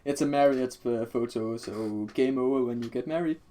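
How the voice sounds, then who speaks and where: A man's voice: mid-range voice, moderate pace, warm tone. A man chatting casually over a video game as co-host, with joking, teasing banter. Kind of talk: casual chat over gameplay. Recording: noisy home mic with keyboard clicks.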